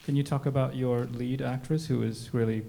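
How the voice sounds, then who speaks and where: A man moderating a post-screening Q&A, asking long, measured questions with the occasional filler. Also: medium pitch, steady tone, gravelly, dark tone.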